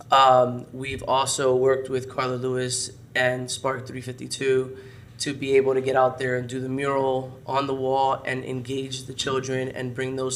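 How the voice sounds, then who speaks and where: A man, a parks department director, explains programs at a city meeting while hoarse and losing his voice. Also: medium pitch. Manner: explanatory, steady briefing. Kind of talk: briefing at a public meeting. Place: city council chamber.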